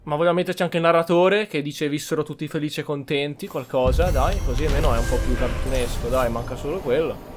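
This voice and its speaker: Higher-pitched Italian man, sardonic gamer. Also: quick pace. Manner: sardonic, blunt. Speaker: video game streamer. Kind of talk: casual gameplay commentary. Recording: faint game music behind.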